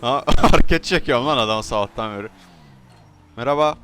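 A man's voice: mid-range voice, fairly quick, bright, forceful tone, smooth. A young man doing joking, slangy, mock-polite banter in improvised video game roleplay. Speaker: gaming video creator.

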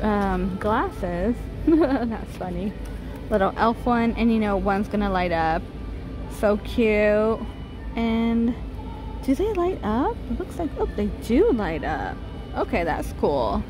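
A woman vlogger chatting casually as she films a store walkthrough on a handheld phone, reading out prices and laughing softly. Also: medium pitch, animated, slow. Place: large retail store, indoors. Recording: store music over the voice. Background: holiday music playing in the store.

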